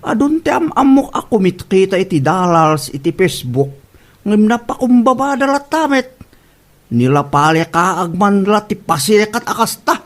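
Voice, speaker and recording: A man's voice: high-pitched, big pitch swings, normal speed. A man with an Ilocano accent playing a sceptical, grumbling working husband in a comedy audio drama. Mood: exasperated.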